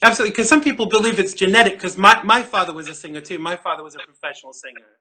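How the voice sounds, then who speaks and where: A man's voice: high voice, racing, bright, forceful tone. A middle-aged man hosting a live interview show, chatty and effusive, tripping over his words with enthusiasm. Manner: effusive, chatty, lots of fillers and restarts, fan enthusiasm. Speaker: show host. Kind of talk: casual livestream interview.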